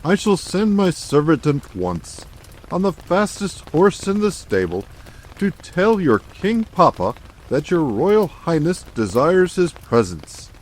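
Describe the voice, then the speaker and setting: A woman's voice: on the low side, very animated, takes it slowly, a little mellow, a little hiss or hum, pitched below the performer's own voice, rough recording. A woman voicing a courteous male captain of the royal guard in a storybook reading, formal and courtly. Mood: gallant, obliging.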